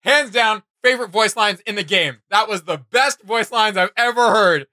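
A young man with a fairly high, very bright and forceful voice talks casually. He sounds enthusiastic and slangy, like someone reacting on video, and the sound is dry and close. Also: moderate pace, no room sound. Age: young adult. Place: small indoor room with gaming setup.

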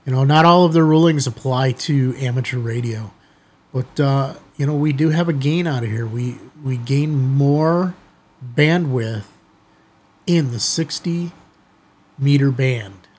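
A middle-aged man with an American accent speaks as a hobby channel host. His voice is husky and sits in a higher-than-deep, ordinary male register. He addresses viewers in an informal, folksy way. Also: measured pace, a little mellow. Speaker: amateur radio video host. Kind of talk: casual chatty commentary to viewers. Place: small indoor studio office, seated at desk.

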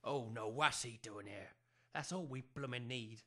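An actor playing a defiant working-class village husband with an English accent, grumbling in colloquial speech in a comic audio drama. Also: male voice, mid-range voice, moderate pace, fairly bright tone, natural voice. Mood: grudging.

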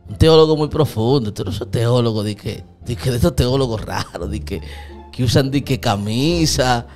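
A man's voice: medium pitch, normal speed, darkish tone, soft background music. A young man hosting a podcast from a small studio, teasing and hyping his guest in casual Spanish. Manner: playful, hypey, teasing. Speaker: podcast host. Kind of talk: casual interview. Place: small studio with purple lights. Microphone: studio mic on stand, headphones.

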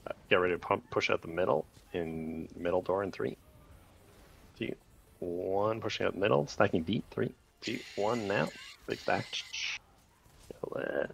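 A man leading a raid group over voice chat, calling short commands in rapid repeated bursts with countdowns. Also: deep voice, gravelly.

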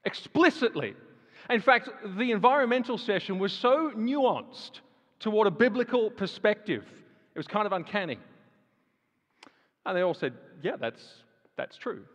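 Animated man preaching in a reverberant hall. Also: high-pitched, measured pace. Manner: animated, rising emphasis, conversational asides with fillers. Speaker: guest speaker. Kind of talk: sermon-style talk to a live audience. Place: large hall with audience. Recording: dull sound with long hall reverb.

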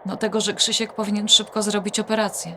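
A woman's voice: mid-range voice, level tone, quick pace, pushed and bright, slight background noise. An actress playing a worried, wry adult daughter confiding her troubles in a naturalistic audio drama. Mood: distressed, confiding.

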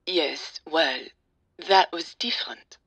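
A woman voicing a composed, insistent older mother in an audio drama, formal and brisk in manner. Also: low voice, a bit bright, slightly rough, dry recording, heavily acted.

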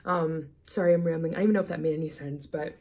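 A young woman vlogger with a slightly raspy, stuffy voice, chatting in a rambling way with lots of "like" fillers, filmed on a camera at arm's length at home and on a patio. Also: low-pitched, steady tone, fast talker, a little mellow. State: stuffy nose, a bit hoarse. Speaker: lifestyle vlogger. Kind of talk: casual rambling vlog chat. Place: home kitchen indoors, then back patio outdoors. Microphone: camera or phone at arm's length.

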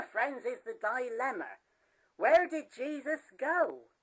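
Slow deadpan woman preaching. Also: medium pitch, poor audio. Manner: deadpan, mock-solemn pulpit delivery. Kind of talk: spoof sermon.

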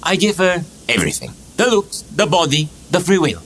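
A man playing an excitable, eccentric stoner cosmic engineer in a comedy audio drama, rambling, slangy and melodramatic. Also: a little high, moderate pace, faint music behind. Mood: dejected. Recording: clearly noisy track.

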